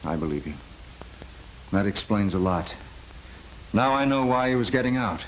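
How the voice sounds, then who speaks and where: Actor playing smooth protective man, middle-aged, American, lively pitch swings, measured dramatic delivery, hissy old film soundtrack. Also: lightly acted, rough recording. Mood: grave, resigned. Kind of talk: 1930s film drama acting.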